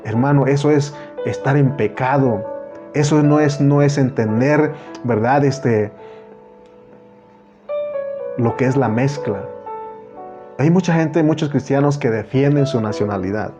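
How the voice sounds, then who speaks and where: A man's voice: medium pitch, measured pace, pauses often, over music. A man with a Mexican accent preaching a sermon, earnest and repetitive, often calling the listener brother.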